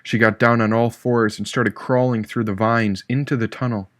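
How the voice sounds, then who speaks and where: Guy reading an audiobook story in first person, mid-pitched voice, really dry close recording. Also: little pitch movement, normal speed, no room sound. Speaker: audiobook narrator, author reading own book. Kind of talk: scripted first-person novel narration.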